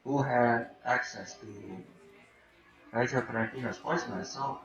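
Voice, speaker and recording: Slow-talking man in an echoey room. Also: medium pitch, little pitch movement, muffled recording. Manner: hesitant, thinking aloud, echoing game lines. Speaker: video game let's player. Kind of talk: casual gameplay commentary. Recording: echoey room, game music behind.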